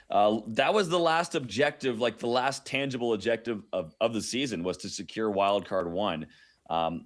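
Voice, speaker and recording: A man, a hockey announcer, giving casual commentary as a radio guest. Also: fairly low pitch. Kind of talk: casual sports interview commentary.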